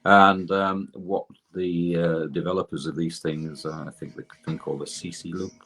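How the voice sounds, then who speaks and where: An older man explaining technical matters as an interview guest, in a halting way with repeated words. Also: sits low, steady tone, fairly bright tone. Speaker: guest expert and researcher in electrotherapy.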